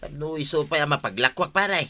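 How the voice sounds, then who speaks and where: Man playing bright-voiced scheming Ilocano village vendor. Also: a little high, animated, fast talker. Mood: coaxing. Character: scheming, cheerful village man hawking dubious snails and greens. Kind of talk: Ilocano comedy radio drama acting.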